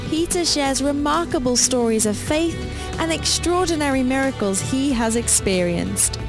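A woman reading a polished, scripted radio programme announcement. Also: sits high. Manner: polished, promotional delivery. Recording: announcement over music. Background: music bed.

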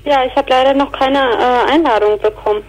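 A woman's voice: high-pitched, fairly even intonation, brisk, low-quality recording. A woman from Berlin-Brandenburg chatting casually as a phone-in caller, with brief, hesitant replies. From Berlin-Brandenburg, Germany. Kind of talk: casual chat. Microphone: telephone.

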